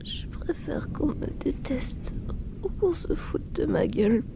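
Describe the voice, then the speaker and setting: A woman's voice: high voice, wildly swooping pitch, full character voice. Woman playing a whispering, painfully shy outcast boy. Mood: hurt, pleading. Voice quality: breathy whisper. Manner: hesitant, apologetic, halting. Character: shy, self-loathing young male outcast classmate, desperate to be noticed. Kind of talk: whispered ASMR roleplay in French.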